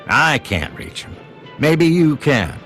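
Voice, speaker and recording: Gruff, grudging wasteland town doctor, animated. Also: male voice, a little high, slow, full character voice. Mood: grudging concern. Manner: curt, grumbling, blunt. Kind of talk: video game character dialogue. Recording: music playing behind the voice.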